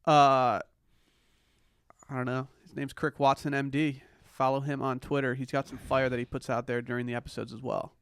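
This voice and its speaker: A man's voice: mid-range voice, flat delivery, moderate pace, clear, resonant tone, no room sound, clean studio sound. A young man co-hosting a podcast, giving casual, opinionated banter and swearing freely. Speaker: podcast co-host. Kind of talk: casual banter, reality TV recap.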